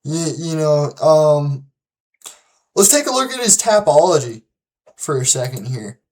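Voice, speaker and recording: Slow-talking, easygoing commentary host. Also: medium pitch, very animated, darkish tone. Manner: unhurried, loose, with many restarts and repeated words; confident, emphatic when making predictions. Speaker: host of a fight-prediction channel. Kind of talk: casual solo commentary and predictions.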